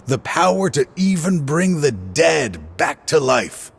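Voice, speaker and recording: A man's voice: on the high side, sing-song, slow pace, creaky, a little hiss or hum, heavily acted. An actor playing a bitter, regretful middle-aged former lawman turned video game villain, with weary, brooding delivery. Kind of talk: dramatic video game voice acting.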